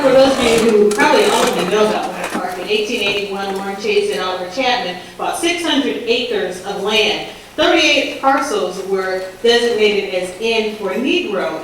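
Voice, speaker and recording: A woman delivers a public history talk with slides, conversational and full-voiced. She sounds distant, in a very reverberant room with steady background hiss. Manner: conversational, faith-infused, says she has a booming voice. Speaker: researcher giving a public talk. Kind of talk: lecture with slides. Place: large echoey community room. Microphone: handheld or stand mic, moving off it at times. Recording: distant, echoey, hissy room recording.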